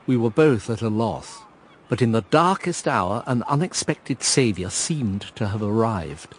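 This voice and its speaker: A man's voice: on the low side, expressive, unhurried, slight background noise. An actor playing a wry, reflective man narrating his memories in a radio drama. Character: wry, reflective man recalling past events. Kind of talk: scripted first-person radio drama narration.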